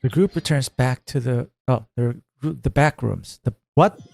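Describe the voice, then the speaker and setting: A man's voice: mid-range voice, a little slow, vocal fry, a little mellow, dry recording. A man giving casual, quippy, joking gameplay commentary as a streamer. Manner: quippy, playful. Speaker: game streamer. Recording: dry mic, faint game music behind.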